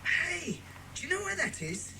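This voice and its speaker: A keen, careful schoolboy sleuth in a children's audio drama. His delivery is lively and varied, with clear ambient noise behind. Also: extremely high pitch, a little slow, exaggerated voice. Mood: discovering. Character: Colin, keen careful young boy detective.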